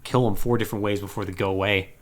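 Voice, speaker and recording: A man hosting a podcast, casually retelling a video game plot in a slangy, animated, joking way. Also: lowish voice, fast talker. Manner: animated, slangy storytelling with mocking acted-out quotes. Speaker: podcast host.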